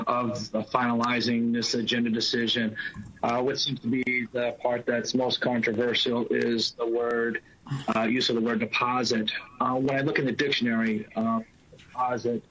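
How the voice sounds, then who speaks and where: A man gives calm, methodical comments in a formal meeting over a video call that cuts out at times. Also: medium pitch, slight background noise, poor audio. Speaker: board member in a meeting. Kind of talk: formal remote meeting discussion. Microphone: video call, cutting out.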